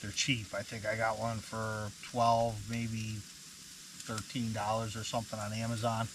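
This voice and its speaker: A man's voice: on the low side, monotone, measured pace, noisy background. A man in his thirties vlogging casually from inside a tent in the woods, rambling through his plans with fillers and restarts. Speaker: outdoor vlogger. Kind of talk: casual camp vlog. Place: inside a canvas hot tent in autumn woods.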